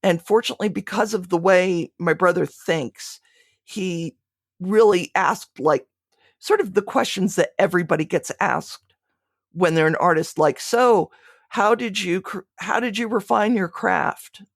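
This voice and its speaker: A woman's voice: fairly low pitch, a little slow, dry recording, studio quality. A woman being interviewed, speaking reflectively and conversationally, often restarting her phrases mid-thought. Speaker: podcast creator being interviewed.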